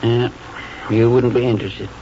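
An actor playing a gentle, ailing old country lawyer, halting, folksy and wistful, in 1940s radio drama style. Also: male voice, medium pitch, level tone, faint music behind, close to an everyday voice. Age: older. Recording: dull 1940s radio recording, faint noise.

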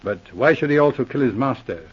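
An actor playing a patient, methodical Mountie sergeant who teases dryly, in 1940s radio acting. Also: male voice, mid-range voice, expressive, brisk, husky. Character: methodical, dry-witted male Mountie sergeant. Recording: dull lo-fi old radio broadcast.

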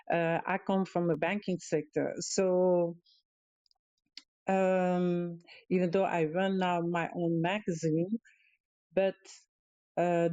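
Slow-spoken Dutch woman, low voice. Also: dark tone, no room sound. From Europe. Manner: slow, halting, with many fillers and restarts. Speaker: guest speaker. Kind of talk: casual talk on a live audio show. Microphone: calling in remotely.

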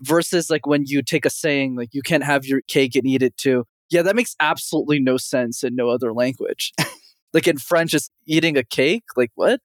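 A man's voice: medium pitch, moderate pace, no echo. A young man from Montreal, Canada, a communication coach answering interview questions with confident energy and often saying the host's name. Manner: energetic, confident, frequently addresses host by name.

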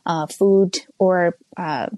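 A woman in her thirties with a North Korean accent and a lowish voice tells her story as a podcast guest, Korean being her first language. She speaks in a measured, careful way, pausing often and reaching for words. Her voice comes through a phone line and sounds close and dry. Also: fairly even intonation, dark tone, dry recording. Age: 30s. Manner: measured, careful, with frequent pauses and fillers. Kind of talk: personal testimony interview. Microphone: phone call.

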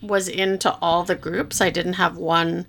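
Middle-aged woman from Canada, somewhat low voice, podcast guest, reflective, self-deprecating storytelling. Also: little pitch movement, a little slow, gravelly, a bit bright. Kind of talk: casual interview chat.

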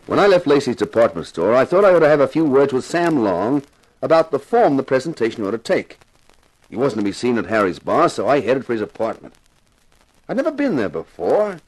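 Cocky amateur sleuth, wry, glib, mid-pitched, highly animated, radio crime drama. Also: male voice, brisk, poor audio. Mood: wry narrating. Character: wry, cocky TV actor playing amateur detective. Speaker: lead and narrator. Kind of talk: 1950s radio detective drama, narration and dialogue.

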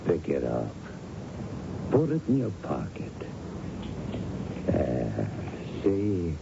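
Muffled 1970s radio play, pushy racketeer, very deep, animated delivery, slangy, coaxing. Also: male voice, extremely slow, vocal fry, heavily acted. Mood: pushy, persuasive. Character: smooth, bullying racketeer who coaxes and pressures. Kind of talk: 1970s radio drama acting. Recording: dull lo-fi noisy radio broadcast with rumble.

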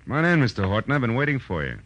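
An American actor playing a wry, tough private detective in a 1940s radio drama, clipped and sardonic. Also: male voice, mid-range voice, big pitch swings, rapid-fire, fairly bright tone, slight background noise. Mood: wry, controlled. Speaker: lead actor and narrator of a detective series. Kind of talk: 1940s hard-boiled radio acting.

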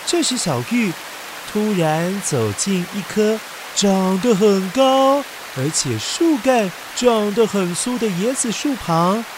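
A man narrates a children's story in an expressive, dramatic way, with exclamations and sound words. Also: sits high, slow pace, background noise. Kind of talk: animated scripted children's storytelling. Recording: music behind the voice. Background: music.

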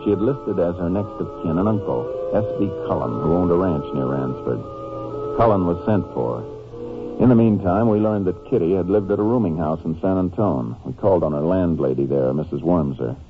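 An actor playing a steady, matter-of-fact Texas Ranger investigating a case in an old-time radio crime drama. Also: male voice, low-pitched, normal speed, over music. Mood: narrating. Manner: matter-of-fact, clipped, polite. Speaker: lead detective and case narrator. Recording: muffled, noisy vintage radio recording.

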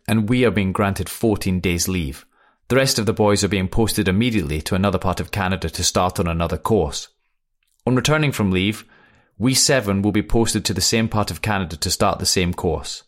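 A man's voice: fairly low pitch, close to an everyday voice. A young man reading aloud as an earnest airman writing home to his mother, modest and a little dry. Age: young adult. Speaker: letter reader. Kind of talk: reading a wartime letter aloud.

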